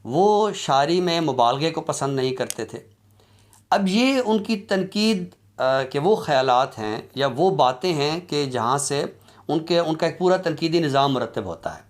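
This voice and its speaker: A man giving an academic lecture in a deliberate, explanatory way, with halting pauses. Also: a little high, expressive. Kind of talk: academic lecture on literary criticism.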